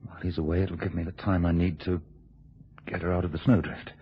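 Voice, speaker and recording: Husky, low-voiced scheming husband. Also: male voice, flat delivery, quick pace. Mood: urgent, scheming. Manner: urgent, instructing, hushed asides to an accomplice. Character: scheming husband, controlling, quick-thinking, coolly plotting a deception. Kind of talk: radio thriller acting. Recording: muffled, dull old radio drama recording.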